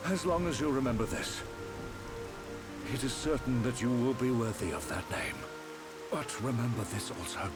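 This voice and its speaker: A man's voice: mid-range voice, close to an everyday voice. A man playing a dignified, loving older emperor in a video game, speaking formally. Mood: solemn, tender. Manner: slow, stately, formal. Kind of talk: video game cutscene acting. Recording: loud music and rain over dialogue.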